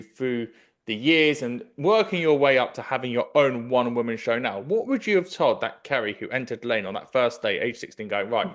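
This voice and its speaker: A man, interviewing conversationally with long, run-on phrasing. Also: medium pitch. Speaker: podcast host. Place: home kitchen, indoors. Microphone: desk mic with headphones.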